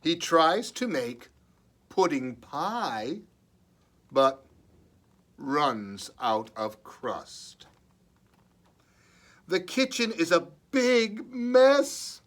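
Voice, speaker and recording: Children's librarian, male, very unhurried delivery with lively swings in pitch, expressive read-aloud with playful asides.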